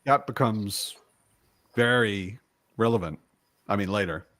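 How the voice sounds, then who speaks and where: A man's voice: lowish voice, a little slow, a bit bright, dry recording. A man talking casually as a participant in a book discussion.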